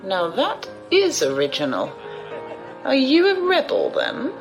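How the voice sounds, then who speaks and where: Shrewd aristocratic old magnate, condescending, amused, audio drama, slow pace, swooping intonation, faint music behind. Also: female voice, medium pitch, wildly swooping pitch, vocal fry, full character voice. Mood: cool, intrigued. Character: shrewd, imperious old noblewoman and corporate magnate.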